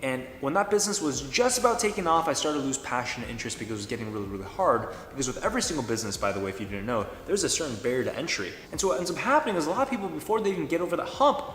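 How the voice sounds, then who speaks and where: A man's voice: mid-range voice, big pitch swings, racing, few pauses, a bit bright, a little hiss or hum. A man giving an emphatic motivational talk about business, spelling out slogans. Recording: echoey room with long reverb.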